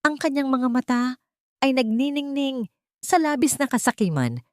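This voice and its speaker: A woman's voice: sits high, big pitch swings, normal speed, a little mellow, no echo, clean studio sound. A woman with a Filipino accent reading a dramatic scripted story as a storyteller.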